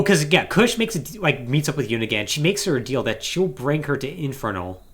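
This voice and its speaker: A man hosting a podcast, giving a casual, conversational recap of a story. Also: medium pitch. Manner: animated, conversational, acting out paraphrased dialogue. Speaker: podcast host.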